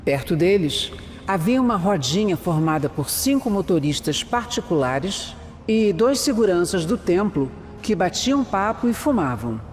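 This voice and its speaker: High-voiced Brazilian narrator in long reverb, played by a man. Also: very animated, unhurried. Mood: measured. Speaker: narrator and protagonist. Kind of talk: scripted first-person narration in an audio drama. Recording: long reverb, music underneath.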